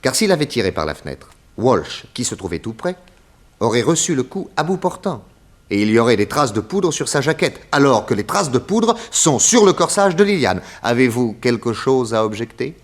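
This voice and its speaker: An actor playing a confident private detective in a 1960s French radio crime serial, speaking in a courteous, methodical and theatrical way. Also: male voice, mid-range voice, big pitch swings, moderate pace, close to an everyday voice. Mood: methodical, assertive. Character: confident male private detective exposing a murderer.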